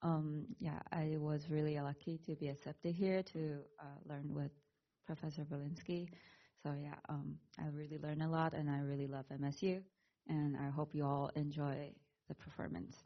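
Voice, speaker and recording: A woman's voice: deep voice, little pitch movement, moderate pace. A young woman from Taiwan, shyly introducing herself as a music student with filler words. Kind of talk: brief self-introduction. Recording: muffled lo-fi recording.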